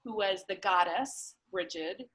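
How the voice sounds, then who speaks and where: A woman's voice: medium pitch, unhurried, fairly bright tone. A woman talking reflectively in casual conversation, thoughtfully searching for her words.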